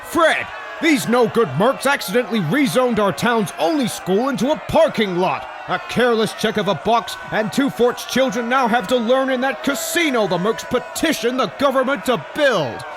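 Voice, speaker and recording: A man's voice: very high voice, animated, moderate pace, shouting, a crowd in the background, background noise. A man playing a dim, blustering small-town mayor, folksy and declamatory, in a comic dub. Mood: indignant, rabble-rousing.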